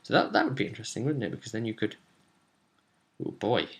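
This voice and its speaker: A man's voice: lowish voice, expressive, quick pace, gravelly. Young man, wry and rambling. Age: young adult. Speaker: tabletop role-play game master and vidcast host. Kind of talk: casual rambling session recap to camera. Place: small indoor room at home.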